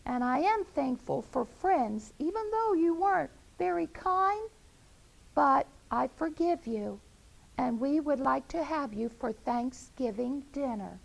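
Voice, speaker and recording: Woman doing a high sing-song mother hen. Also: expressive, takes it slowly, vocal fry, exaggerated voice, rough recording. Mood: forgiving. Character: fussy mother hen, proud and bossy.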